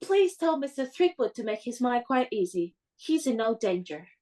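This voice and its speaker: A composed young lady of a radio play, acted by a woman. Her voice is high, with lively intonation. She is polite and direct, keeping her guard up. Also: normal speed.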